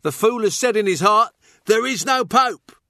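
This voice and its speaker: A man voicing a scrawny, bitter court fool with a shrill London voice, mocking and taunting, in an audiobook. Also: expressive, moderate pace, sharp tone, no echo, clean studio sound, exaggerated voice. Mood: gleeful mocking. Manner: mocking, jeering.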